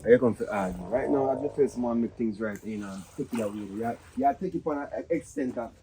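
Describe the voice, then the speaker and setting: A man's voice: medium pitch, a little fast, background noise, close to an everyday voice. A man with a Jamaican accent playing an earnest, defensive Rastaman in a drama, pleading and repeating himself. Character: earnest, defensive Rastaman confessing to his lover.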